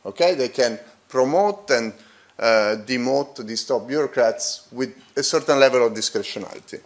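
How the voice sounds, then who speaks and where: A man with a non-native accent presenting an academic paper at a conference. He speaks haltingly, with fillers and restarts, and checks in with the audience using tags like "okay?". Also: medium pitch. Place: conference hall.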